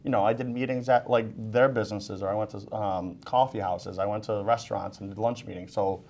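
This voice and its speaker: A man in his thirties hosting a casual business talk show from a TV studio desk, easygoing and quick to joke. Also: fairly low pitch, steady tone, gravelly, a little mellow. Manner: jokey, easygoing. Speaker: host. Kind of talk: casual panel chat.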